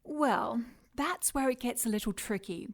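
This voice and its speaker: An actress playing a condescending scientist villain in a radio drama, clinical and taunting as she addresses her captive in an unhurried way. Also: on the high side, close to an everyday voice. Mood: smug, probing.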